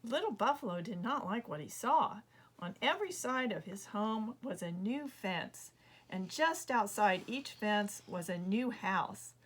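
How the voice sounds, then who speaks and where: A woman reading her own children's book aloud as a measured, expressive storyteller. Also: mid-range voice, slight background noise. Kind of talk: storybook narration read aloud.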